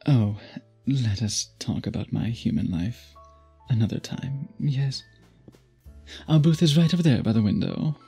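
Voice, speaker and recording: An obsessive vampire suitor, acted by a man, with a heavily breathy, rasping voice. He speaks in courtly, antique turns of phrase, intimate and possessive, in the manner of an ASMR roleplay. Soft music plays behind him. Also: mid-range voice, lively intonation, measured pace, full character voice. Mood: charmed, courteous.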